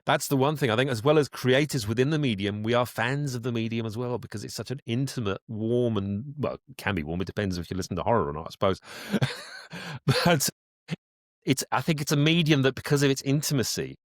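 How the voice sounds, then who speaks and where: A man's voice: medium pitch, big pitch swings, fairly quick, dry recording, studio quality. A man talking at length as a guest in a conversational podcast interview, explaining things in a rambling way. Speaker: guest, voice actor and director.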